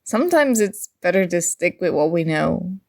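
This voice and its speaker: A woman's voice: on the low side, normal speed, vocal fry. A woman playing a playful, nerdy young gamer chatting with her crush in a casual, teasing ASMR roleplay. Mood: teasing, mock indignant. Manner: teasing, animated, conversational. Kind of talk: ASMR roleplay acting, casual conversational chat.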